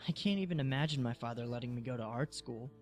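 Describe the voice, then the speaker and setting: A man's voice: medium pitch, fast, soft background music, lightly acted. Troubled youth male actor, soap drama: sullen, bitter, sardonic, mid-range voice. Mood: despondent. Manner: glum, sardonic. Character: troubled, sullen young male art student, withdrawn and bitter. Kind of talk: scripted audio soap drama acting.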